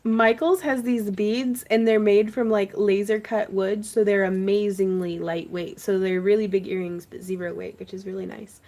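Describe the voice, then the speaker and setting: A woman's voice: medium pitch, fairly even intonation, normal speed, few pauses, dark tone. A woman hosting a craft livestream, chatting casually and laughing often as she greets viewers. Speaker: craft channel host.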